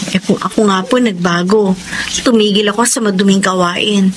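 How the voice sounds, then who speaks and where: A woman's voice: medium pitch, steady tone, normal speed, noisy background, lightly acted. An actress playing a wounded, devoted wife and mother in a radio melodrama, earnest and emotional, with a Filipino accent. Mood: earnest, resigned. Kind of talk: melodramatic Tagalog radio drama acting. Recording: music under the voice.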